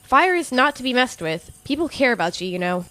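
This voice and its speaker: A woman's voice: fairly high pitch, sing-song, a little fast, bright, forceful tone. A woman playing a caring but stern college professor in an audio drama, proper and motherly. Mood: gently scolding.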